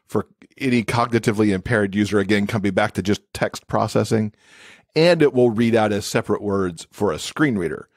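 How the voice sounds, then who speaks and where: A man's voice: lowish voice, a little fast, dry recording, clean studio sound. A man speaking as an expert podcast guest, explaining things in a casual, conversational way.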